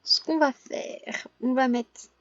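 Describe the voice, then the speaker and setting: A young woman with a high voice, hoarse from a cold, talks through her gameplay in a casual, halting way. She pauses often and corrects herself. The recording is close and dry, with a slightly muffled, lo-fi sound. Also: fairly even intonation, a bit bright, dry recording. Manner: hesitant, many filler pauses and self-corrections. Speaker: gaming vlogger.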